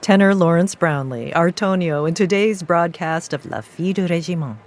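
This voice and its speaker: Female broadcast host with a low voice, poised and measured delivery. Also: darkish tone. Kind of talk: broadcast interviewing and presenting.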